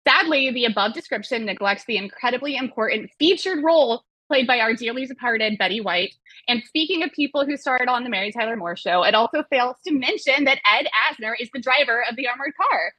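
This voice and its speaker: A woman's voice: fairly high pitch, lively intonation, brisk, pushed and bright, no room sound, poor audio. A woman hosting a podcast, reading prepared material with wry, playful casual asides. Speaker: podcast host and moderator.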